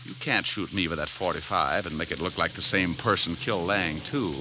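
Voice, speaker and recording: A man plays a tough American private eye in an old radio drama. The voice sits low and the delivery is sardonic and hard-boiled. The old recording is thick with noise. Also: fairly quick, natural voice. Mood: accusing. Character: tough, wisecracking male private detective. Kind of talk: 1940s radio detective acting with first-person narration.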